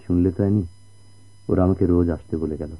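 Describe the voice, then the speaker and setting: A man with a Bangladeshi accent playing a kind, patient, devoted family friend in a radio drama, speaking earnestly in formal, measured diction. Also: deep voice, fairly even intonation. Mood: tender, restrained. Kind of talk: Bengali radio drama acting. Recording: muffled old radio drama recording.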